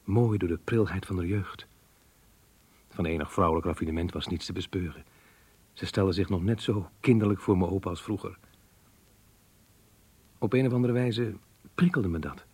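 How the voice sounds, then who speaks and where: An actor playing a remorseful middle-aged man reading out his diary confession in a radio play, grave and measured. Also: a little mellow, dry recording. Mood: troubled, reflective. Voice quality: low, husky. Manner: grave, measured, literary diction. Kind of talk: Dutch radio play monologue.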